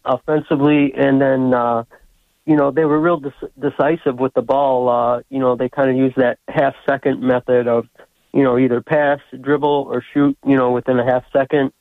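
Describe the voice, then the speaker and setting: A man's voice: mid-range voice, no echo. A man calling a sports radio show by phone, casually breaking things down with hesitant, filler-heavy phrasing. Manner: hesitant, says "you know" constantly. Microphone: phone call. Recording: tinny, narrow phone line.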